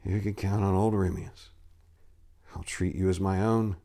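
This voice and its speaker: A man's voice: deep voice, steady tone, measured pace, warm tone. A man voicing a kindly old man in a fantasy horror story, speaking tenderly and reassuringly. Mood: tender, pained. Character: kindly hobbling old man comforting a little girl.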